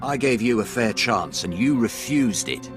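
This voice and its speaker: An actor playing a cold, courteous Imperial commander in a video game, formal and condescending. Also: male voice, mid-range voice, sing-song, measured pace. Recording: prominent music behind the voice.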